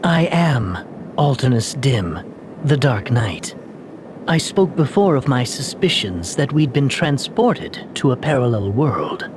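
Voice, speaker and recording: A man playing a troubled, gallant young knight in a video game, earnest and formal, with a husky, breathy, halting delivery. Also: mid-range voice, big pitch swings, background noise. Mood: grave, confessional.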